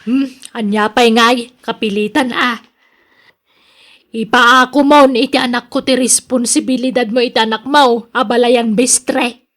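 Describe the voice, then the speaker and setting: An actress playing a domineering mother of a grown son, scolding and nagging loudly in radio drama style. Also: on the high side, fairly even intonation, a little slow. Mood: irritated, grudging. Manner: loud, nagging.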